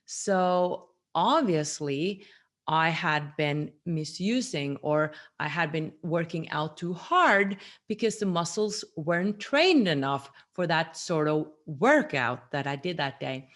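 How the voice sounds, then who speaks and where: A woman's voice: sits low, animated, slow. A woman in her forties talking earnestly to camera in a personal teaching monologue, recorded seated in a small room at home. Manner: earnest, gestures a lot with her hands. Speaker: vlogger.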